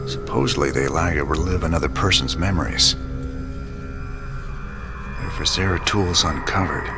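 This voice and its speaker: A weary rebel commander from a game, gruff and low. He talks in a dry, folksy way, with music clearly behind him. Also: moderate pace. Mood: grave, pensive. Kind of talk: video game cinematic acting.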